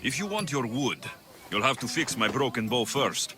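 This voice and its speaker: An actor playing a gruff, honest and weary mercenary with a dry, sardonic delivery in a video game. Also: male voice, medium pitch, sing-song, normal speed, music in the background, natural voice. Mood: wry. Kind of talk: video game cutscene acting.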